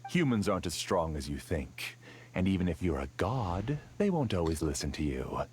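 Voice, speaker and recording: A man's voice: low-pitched, big pitch swings, moderate pace, darkish tone, a little hiss or hum. A man voicing a bitter, scheming male dream master in a video game, brooding and defiant. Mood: scornful.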